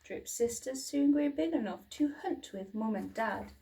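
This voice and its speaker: A woman's voice: a little high, animated, a little slow, mellow. A woman reading a children's storybook expressively, like a teacher, recorded at a distance from the mic.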